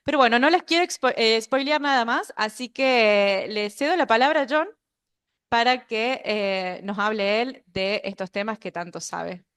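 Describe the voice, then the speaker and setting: A woman presents an online event as its host. Her voice sits a little high and is very clean and smooth. She speaks politely and formally, following a prepared introduction. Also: normal speed, a bit bright. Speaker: webinar host.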